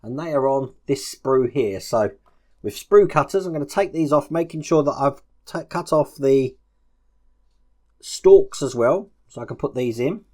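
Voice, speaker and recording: Male, medium pitch. Informal hobby tutorial presenter, explaining each hands-on step while working. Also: expressive, measured pace, a little mellow. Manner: informal, narrating actions as he works. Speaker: presenter of a model-building tutorial. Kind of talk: hands-on step-by-step instructional commentary.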